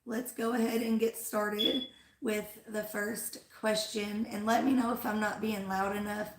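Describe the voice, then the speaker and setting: Farm lady on a livestream, kinda losing her voice, says like a lot, flat tone, echoey room. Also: mid-range voice, unhurried. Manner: rambling, fills with "like" and "um". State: losing her voice after an illness. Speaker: flower farmer hosting a livestream. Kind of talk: casual livestream chat.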